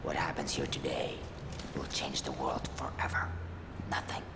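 An actor playing a cold Russian ultranationalist villain in a video game, speaking with deliberate, breathy menace and a Russian accent. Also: male voice, rumbling low, steady tone, roomy sound, very noisy. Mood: quiet menace. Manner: slow, deliberate, threatening. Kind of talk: cinematic video game acting.

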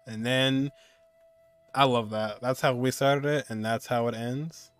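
A man's voice: mid-range voice, fairly even intonation, measured pace, no room sound. A man in his twenties giving casual, musing reaction commentary as a game streamer. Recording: game music behind the voice.

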